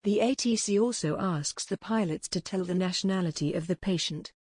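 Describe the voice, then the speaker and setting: A narrator reading a script in a flat, even way. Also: medium pitch, a little fast, no echo, studio quality.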